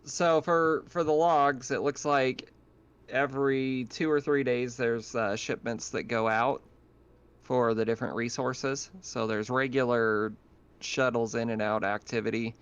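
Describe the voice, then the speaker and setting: A man with a smooth, clear voice acts as game master in a casual tabletop session. His voice comes through a slightly dull online call. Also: medium pitch, fairly even intonation, measured pace. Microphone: online voice chat.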